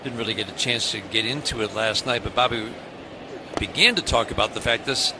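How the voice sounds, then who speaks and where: A man's voice: lowish voice, a little fast. A man with an American accent doing live radio play-by-play commentary of a baseball game. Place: stadium broadcast booth. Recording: clear outdoor ballpark ambience noise.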